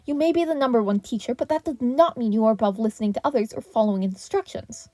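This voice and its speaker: A woman voicing an indignant male teacher in a story reading, sharp and commanding as he lectures a colleague. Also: on the high side, fast talker, no echo, close to an everyday voice. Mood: stern lecturing.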